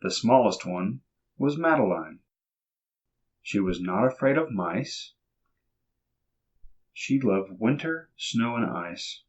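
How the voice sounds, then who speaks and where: Man, expressive picture-book reader, slow pace, slightly husky voice, dull lo-fi sound. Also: lowish voice. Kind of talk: children's picture book read-aloud.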